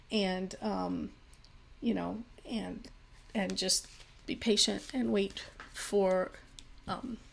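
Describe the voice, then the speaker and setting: A woman's voice: mid-range voice, creaky. A young woman giving a pick-a-card tarot reading, talking in a rambling way with many fillers and restarts, her voice a little worn. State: slightly losing her voice. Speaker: tarot reader.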